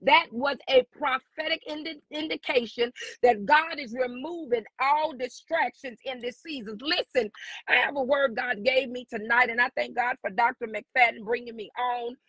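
A woman's voice: high-pitched, normal speed, a bit bright, husky, no echo, poor audio. A woman preaching as a guest prophetess on a live stream, fervent and repetitive, building declarations. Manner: fervent, repeats lines, rising declarations. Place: online social media live stream.

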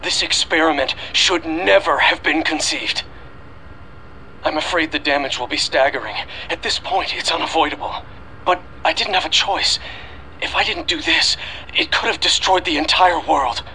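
Desperate, grave scientist, bright forceful voice. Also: male voice, a little high, slight background noise, rough recording. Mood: grave, urgent. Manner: grave, pleading, deliberate. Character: desperate, remorseful scientist father recording a last message. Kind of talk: anime game voice acting.

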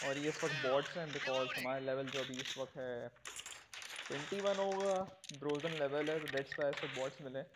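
A man's voice: medium pitch, steady tone, normal speed, heavy background noise. A man giving casual commentary as a mobile gaming video creator. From Pakistan.

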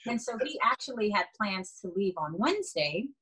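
A woman's voice: medium pitch, big pitch swings, moderate pace, bright, forceful tone, husky, rough recording. A young woman from Haiti, a stage actor, chatting as a guest over a video call from a bedroom, with frequent fillers and laughs. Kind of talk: casual video-call interview. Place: bedroom indoors.

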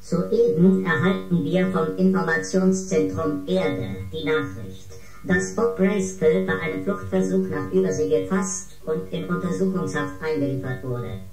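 A woman's voice: lowish voice, unhurried, creaky, hardly any pauses. A woman voicing an impassive ship computer in a science-fiction radio drama, formal and detached. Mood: matter-of-fact. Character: impassive female ship computer, coolly official. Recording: reverberant, music underneath.

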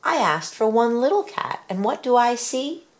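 Emphatic, practical elderly storybook wife, read by a woman, pitched up, lively swoops. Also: normal speed, close to an everyday voice. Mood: startled. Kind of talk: storybook character voice.

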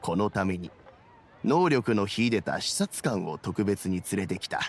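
An actor playing a kindly, wise elderly statesman in a video game, speaking in a measured, old-fashioned way. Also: sits low, lively intonation, breathy edge, exaggerated voice. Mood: explanatory, calm. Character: dignified kindly elderly statesman, grey-haired, calm and wise. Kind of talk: Japanese video game voice acting.

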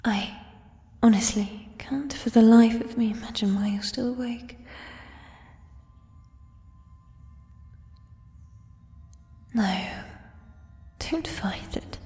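A woman voicing a doting, possessive giant female troll in a soft, breathy whisper, with formal, coaxing phrasing, in an ASMR roleplay. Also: a little high, fairly even intonation, in a large hall. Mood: coaxing, hushed. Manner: unhurried, formal, old-fashioned phrasing, coaxing. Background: fantasy ambience music.

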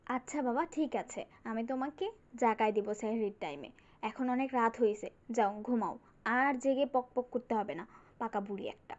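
Cartoon narrator: woman, high voice, slightly muffled lo-fi sound. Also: expressive, normal speed, a little mellow. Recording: dull, lo-fi sound.

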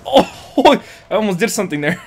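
A man's voice: sits high, lively intonation, moderate pace, gravelly, music in the background. A man doing casual, joking gameplay commentary with plenty of slang and swearing, as a streamer. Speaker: gaming streamer.

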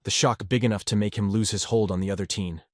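A man reading fan fiction aloud as an audiobook narrator, his voice low and even. He reads steadily and measuredly. The sound is dry, with no room around it. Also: quick pace, no room sound.

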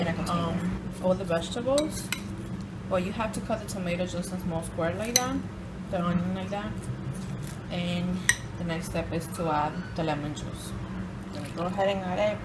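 Woman giving halting kitchen instructions. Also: on the low side. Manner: halting, plain step-by-step instructions. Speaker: home cook. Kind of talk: casual cooking tutorial. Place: home kitchen. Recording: distant phone audio with loud kitchen noise.

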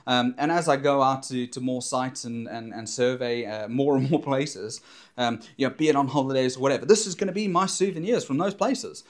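A man's voice: medium pitch, brisk. A young man chats to camera in a small home studio, recorded close on a lapel mic. He rambles happily, piling up fillers and restarting sentences, his ordinary mid-range voice full of enthusiasm for his hobby. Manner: rambling, many fillers and restarts, animated hand gestures. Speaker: maker and drone surveyor vlogger. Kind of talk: casual enthusiastic talk to camera. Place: indoor home studio in front of a backdrop. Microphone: clip-on lapel mic.

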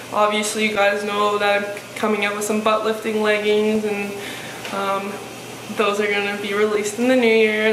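A young woman in her twenties with a deep voice, answering viewer questions in a frank, chatty way, full of "like" fillers, seated on a living-room sofa. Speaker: fitness vlogger. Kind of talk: casual Q&A vlog. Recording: echoey room with faint hiss.

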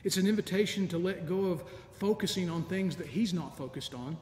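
A middle-aged man preaching a sermon as a deacon, measured and earnest, with soft laughs. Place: large empty church sanctuary. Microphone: stand mic in front of lectern, camera at a distance. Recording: echoey church with long reverb, faint rumble.

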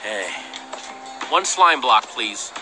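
Lively, earnest young adventure hero. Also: male voice, medium pitch, extremely slow. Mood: pleading. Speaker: game protagonist. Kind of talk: animated game dialogue. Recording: game music behind the voice.

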